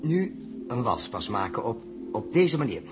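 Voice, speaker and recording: Hesitant, troubled man played by an actor, medium pitch. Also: sing-song, over music, close to an everyday voice. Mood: flustered. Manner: hesitant, halting. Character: troubled, melancholy man lost in his memories. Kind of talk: 1960s radio drama acting.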